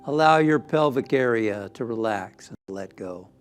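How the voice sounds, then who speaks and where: A man with a mid-pitched voice leads a guided meditation at a very slow pace. His delivery is gentle and soothing, softening at times to near-whispers. Music plays behind him. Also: expressive. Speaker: minister leading guided meditation.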